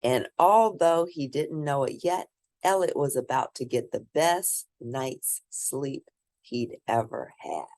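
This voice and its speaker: A woman reading a children's picture book aloud, expressively, with theatrical, drawn-out emphasis for young listeners. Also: very deep voice, darkish tone, no echo. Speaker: storybook reader.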